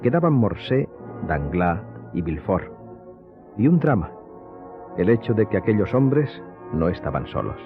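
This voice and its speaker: Deep voiced guy narrating a radio drama, measured and dramatic, slightly dull audio, soft music under it. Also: sing-song.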